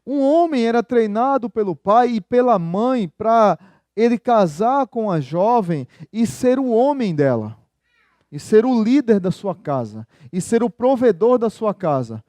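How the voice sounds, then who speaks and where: A man's voice: high-pitched, sing-song, measured pace, dark tone, dry recording. A male pastor preaching a sermon in a conversational style, often turning to the congregation with rhetorical questions.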